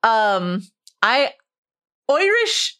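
A woman co-hosting a podcast in casual chat, chatty and self-deprecating with quick asides. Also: a little high, sing-song, a bit bright, clear, resonant tone. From not Irish. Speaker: podcast co-host.

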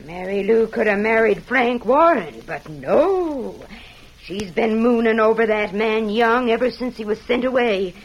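An actress playing a domineering, scolding middle-aged farm wife in an old radio western. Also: female voice, on the high side, expressive, a little slow, slightly rough, muffled recording, heavily acted. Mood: bossy, indignant. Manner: sharp-tongued, domineering, indignant. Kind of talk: 1940s-50s radio western acting.

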